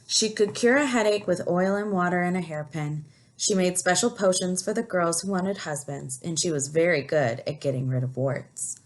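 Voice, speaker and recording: Woman reading a picture book aloud to children. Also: fairly low pitch. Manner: explanatory, pauses to ask questions. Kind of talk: picture book read-aloud with asides to young listeners.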